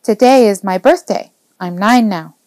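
A woman voicing an eager, proud nine-year-old boy in an audiobook, earnest and excitable. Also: medium pitch, moderate pace, no room sound, natural voice. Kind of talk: audiobook character dialogue.

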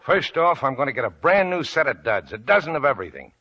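Paranoid greedy young prospector, snarling, husky voice swings up and down, radio drama. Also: male voice, medium pitch, sing-song, fast. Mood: boastful. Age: young adult. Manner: suspicious, snarling, mutters to himself. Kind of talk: 1940s radio acting. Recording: dull lo-fi old radio recording.

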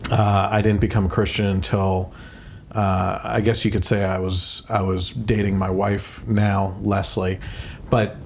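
A man hosting a faith podcast, telling his personal story in an earnest, casual way with quick bursts and restarts. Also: lowish voice, level tone, vocal fry, darkish tone, slight background noise. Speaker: podcast host.

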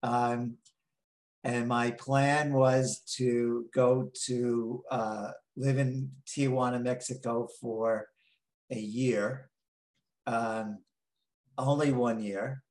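A man in his late sixties with a New York accent, telling his story slowly as an interview guest, pausing on many ums. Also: medium pitch, a little mellow, echoey room, poor audio. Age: 60s. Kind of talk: interview answers.

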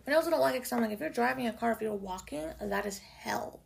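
Raspy young woman chatting on a podcast. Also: lively intonation, fast. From Canada. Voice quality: low raspy voice. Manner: chatty, swears freely, lots of "like" and "y'all". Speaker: podcast host. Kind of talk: casual solo podcast chat. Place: home room in Toronto.

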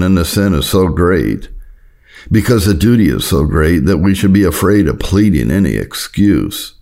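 A man reading an old sermon aloud as an audiobook narrator, in a solemn, earnest, measured and formal way. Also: low voice, creaky, darkish tone. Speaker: audiobook narrator of Puritan writings.

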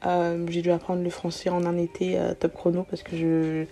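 A woman's voice: lowish voice, completely flat delivery, moderate pace, dark tone. A woman in her early twenties, not a native French speaker, hosting a casual solo podcast in an informal, slangy way with some English words mixed in. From born outside France, moved to France as a young child. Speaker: podcast host. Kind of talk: casual solo podcast, answering self-introduction questions.

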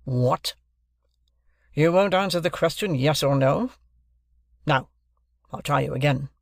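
A man voicing a bullying, sarcastic gentleman who cross-examines in a hectoring, authoritative manner for an audiobook. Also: on the high side, slow, creaky, dry recording, studio quality. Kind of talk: classic novel narration, character voice.

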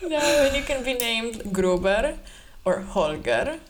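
Young woman podcast cohost, slow unhurried talk, giggly and teasing. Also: a little high, sing-song. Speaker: podcast co-host. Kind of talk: casual chat.

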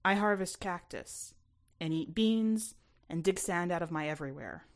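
A woman's voice: on the low side, normal speed, lightly acted. Woman narrator doing a bitter worn-out guy in a story, guarded, cynical. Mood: weary, guarded. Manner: evasive, dark, cynical. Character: weary, guarded man, bitter former revolutionary storyteller.

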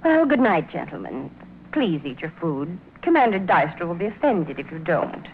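An actress playing a composed, polite female jailer, speaking in a measured, formal way in a 1950s radio drama. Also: on the low side, very animated, creaky, phone-quality sound. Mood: coolly courteous. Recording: thin, noisy old radio broadcast.

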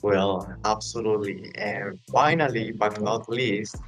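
A man's voice: on the low side, a little slow, soft background music. A male podcast host asking a closing question and signing off politely. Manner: polite, wrapping up formally. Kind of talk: podcast interview and sign-off.

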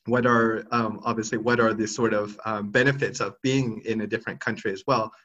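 A man's voice: on the low side, steady tone, a little fast, a bit bright. Thoughtful man in his thirties, muffled audio. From Thailand. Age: 30s. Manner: thoughtful, hesitant, many restarts and fillers. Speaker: podcast guest. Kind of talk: reflective interview answers.

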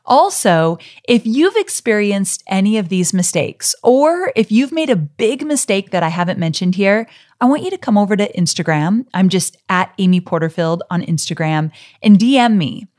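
A woman's voice: mid-range voice, animated, normal speed. A middle-aged woman hosting a solo podcast, talking straight to her listeners in an encouraging, friendly way. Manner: warm, encouraging, direct address to listeners. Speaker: podcast host. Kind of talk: solo podcast teaching talk.

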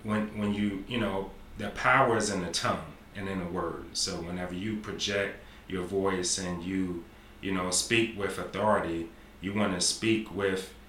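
Man in his forties, very deep bright voice, podcast host, echoey room, faint hiss. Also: fairly even intonation, normal speed. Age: 40s. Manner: repetitive, affirming, talkative. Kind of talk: casual interview. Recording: roomy sound with faint noise.

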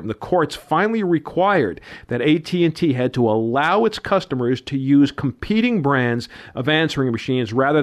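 Older man reminiscing on a talk radio show. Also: mid-range voice. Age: 50s. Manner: reminiscing, lecturing with dates and figures.

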